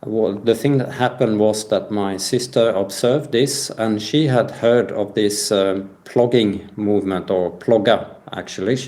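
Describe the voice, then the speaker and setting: A man with a lowish voice tells a personal account in an interview. He speaks very slowly and haltingly, searching for his phrasing as a guest would in conversation. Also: a little mellow. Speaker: podcast guest.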